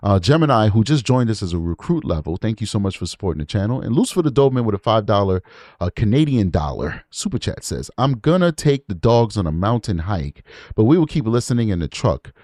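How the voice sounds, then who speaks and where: A man's voice: on the low side, sing-song, fast, darkish tone, dry recording, clean studio sound. A man hosting a true crime livestream, casually commenting on audio clips and repeating words for emphasis.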